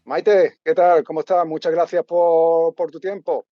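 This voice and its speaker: A man's voice: a little high, flat delivery, measured pace, over music. A man hosting an interview, talking casually and hesitantly with many restarts. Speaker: host. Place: home in Málaga. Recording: dull lo-fi sound.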